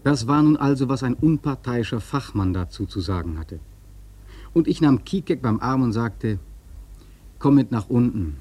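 An actor playing a sailor who recounts a perilous whale hunt, narrating emphatically and theatrically in a husky voice for a radio play. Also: male voice, mid-range voice, lively intonation, normal speed, darkish tone. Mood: resolved, relieved. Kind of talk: dramatic first-person radio play narration. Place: dry studio.

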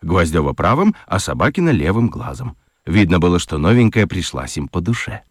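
A man narrating a children's radio play in a gently ironic, highly expressive storytelling manner. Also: brisk, breathy edge, dry recording, clean studio sound.

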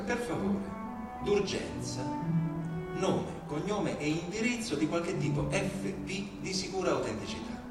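A man giving a measured, declamatory theatrical reading as a stage performer. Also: sits high. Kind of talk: theatrical dramatic reading with music. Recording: very echoey distant hall recording with music. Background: loud musical instrument.

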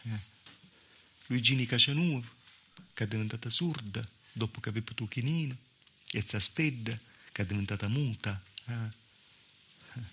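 An actor playing a wry, plaintive Calabrian village mother in a theatrical monologue, with a southern Italian accent and a halting, confiding, folksy delivery. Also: mid-range voice, bright, forceful tone, slight background noise, natural voice. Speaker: actor in a solo stage monologue. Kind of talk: theatrical storytelling monologue in dialect-inflected Italian. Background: live musical accompaniment.

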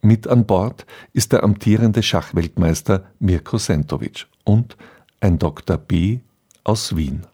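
A man's voice: low-pitched, unhurried, dark tone. A man presenting a scripted radio introduction in a sober, factual manner.

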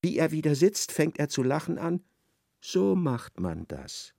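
A man's voice: on the high side, very animated, unhurried, vocal fry, warm tone, clean studio sound, exaggerated voice. A man narrating a literary radio drama as a wry storyteller who echoes an aggrieved wife's thoughts. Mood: indignant, wry.

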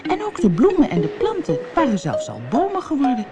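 A woman reading a scripted children's story as an expressive storybook narrator. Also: high voice, brisk, over loud music. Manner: expressive, acts out dialogue.

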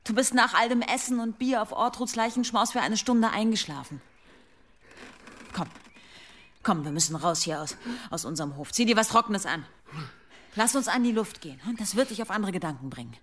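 An actress playing a practical, steady village wife who speaks firmly and reassuringly in a German radio drama. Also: female voice, medium pitch, normal speed, pauses often, slight background noise, natural voice. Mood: firm, soothing. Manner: firm, reassuring.

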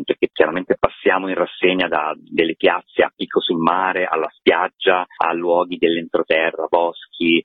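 A man's voice: low-pitched, husky, dry recording. A man explaining his work informally as a guest in a radio interview. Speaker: festival artistic director, interview guest. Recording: muffled lo-fi radio interview line.

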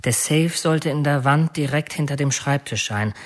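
An actor playing a man who confesses to a burglary turned killing, recounting it in measured, matter-of-fact detail in an audio drama. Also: on the high side, lightly acted. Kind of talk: audio drama monologue, confession under questioning.

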